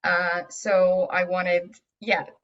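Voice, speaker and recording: A woman's voice: fairly low pitch, level tone, drawn-out words. A woman whose first language is Arabic, from Egypt, answering interview questions in a casual, hesitant way with many fillers and restarts. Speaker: guest aromatherapy teacher. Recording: dull lo-fi audio.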